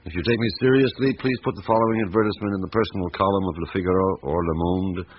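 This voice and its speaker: Man playing a low-voiced, measured police inspector. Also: natural voice. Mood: grave, pondering. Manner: calm, deliberate, thoughtful. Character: measured, probing French police inspector, middle-aged man. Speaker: lead actor in a radio drama. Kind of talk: radio drama acting. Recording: muffled old radio recording, faint noise.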